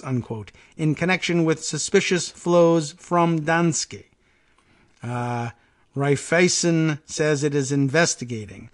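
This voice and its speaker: A man hosting a political talk show, reading news stories aloud with wry asides, halting on hard names and restarting often. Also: on the high side, a bit bright. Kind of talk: casual news commentary, reading headlines aloud.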